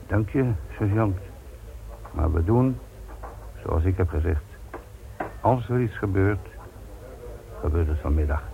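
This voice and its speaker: An actor playing a stubborn, dryly insolent police inspector in a radio play, with ironic, unhurried banter. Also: male voice, low voice, animated, gravelly, noisy background, full character voice. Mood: firm, caring. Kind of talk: radio drama acting. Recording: narrow phone-like old radio recording.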